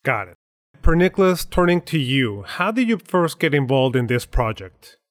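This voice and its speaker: A man's voice: medium pitch, sing-song, moderate pace. A man hosting an interview podcast, asking his guests questions in a conversational style. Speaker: interview host.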